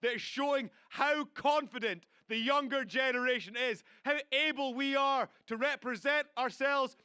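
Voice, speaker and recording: A man's voice: very high voice, sharp tone, clear, resonant tone, no echo, studio quality. A man from Scotland compering a rally, introducing the next speaker with enthusiasm and small stumbles. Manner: enthusiastic, slightly halting. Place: outdoor rally stage.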